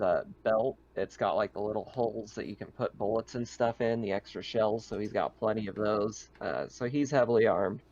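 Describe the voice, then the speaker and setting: A man casually narrating a tabletop roleplaying game as game master, describing scenes in a halting, improvised way. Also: fairly low pitch, darkish tone, muffled recording.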